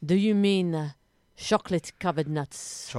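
A woman playing a haughty, menacing Belgian sweet-shop keeper in improvised comedy, with a French-speaking Belgian accent and indignant, deadpan delivery that rises at the ends of sentences. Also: on the low side, moderate pace, clear, resonant tone, no echo, studio quality, natural voice. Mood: coolly menacing.

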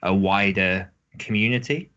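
A man's voice: low voice, a little slow, bright, forceful tone, highs cut off. A man co-hosting a podcast, chatting casually in an interview. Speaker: podcast co-host.

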